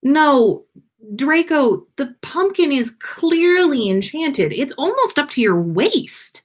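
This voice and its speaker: A woman's voice: high voice, very animated, slow pace, voice pushed up, full character voice. A woman voicing a puzzled teenage boy wizard in a story reading, in a questioning manner. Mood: uneasy.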